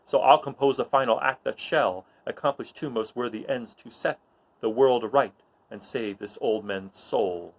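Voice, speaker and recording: A man voicing a solemn, weary but hopeful old mentor in a measured Shakespearean storybook reading. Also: medium pitch, creaky, poor audio. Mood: solemn, resolved.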